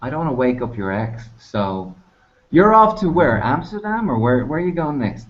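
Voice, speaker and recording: A man co-hosts a loose online chat show. He talks informally, wandering through his thoughts with some swearing. The audio is muffled and low in fidelity, as on an internet call. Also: big pitch swings, normal speed. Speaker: co-host of an online talk show. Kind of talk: casual two-person chat. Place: remote online video hangout.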